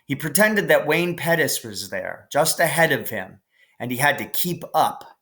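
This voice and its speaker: A man reading a children's novel aloud as scripted narration, in a measured, careful way. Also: a little high, sing-song, sharp tone. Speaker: audiobook narrator.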